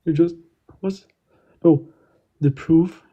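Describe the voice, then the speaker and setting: A man's voice: on the high side, fairly even intonation, slow, husky, dull audio. A young man in a live online debate who keeps questioning the hosts, stumbling and repeating himself. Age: young adult. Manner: hesitant, repeats himself, stumbles over words. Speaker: caller challenging the hosts.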